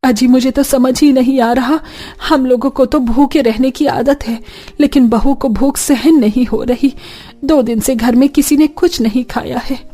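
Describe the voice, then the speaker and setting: Woman acting a poor village woman, very high, mellow tone, plaintive, melodramatic, cartoon drama. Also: steady tone, measured pace. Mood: pained, pleading. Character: suffering, worried women of a poor village family. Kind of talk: Hindi cartoon dubbing.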